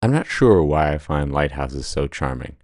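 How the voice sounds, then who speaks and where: A man narrating his solo sailing trip in a dry, deadpan voice-over with wry humor. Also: a bass voice, normal speed, background noise. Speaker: solo sailor vlogger.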